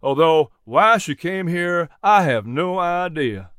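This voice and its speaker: A man playing a gruff but amiable male horse owner in a storybook. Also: a little high, expressive, slow pace, a bit bright, no echo, studio quality. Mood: relieved, amiable.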